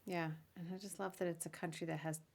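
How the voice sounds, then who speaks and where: A woman's voice: on the low side, level tone, fast, darkish tone. A woman hosting a casual conversational podcast interview, chatty and informal, often slipping in asides. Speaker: podcast host interviewing a guest.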